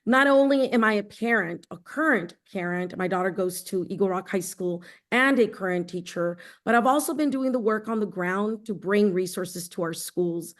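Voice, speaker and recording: Middle-aged female candidate introducing herself in a campaign speech, average pitch. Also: moderate pace, few pauses. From born in El Salvador, raised in Los Angeles. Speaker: school board candidate, teacher and union leader.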